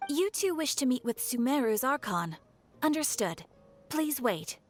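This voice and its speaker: A woman voicing a polite, almost robotic guild receptionist in a video game, formal and measured. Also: sits high, big pitch swings, faint music behind.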